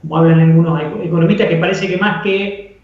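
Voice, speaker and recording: A man's voice: a little high, steady tone, fast. An Argentine man giving economic commentary as an interview guest, explaining things and repeating words as he goes. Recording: echoey, distant, muffled remote link.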